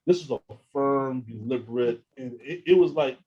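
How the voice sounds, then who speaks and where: A man telling his own experiences as an interview guest, halting and often repeating words as he restarts sentences. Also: medium pitch, lo-fi sound. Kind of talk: casual first-person account.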